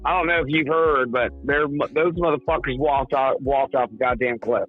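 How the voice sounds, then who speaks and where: A man's voice: mid-range voice, moderate pace, over music. Male call-in guest, profane and blustery, voice worn from singing, phone-quality audio. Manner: profane, blustery, easily riled. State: strained voice from singing. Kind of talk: casual comedic banter. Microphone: remote call. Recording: tinny phone-like call line.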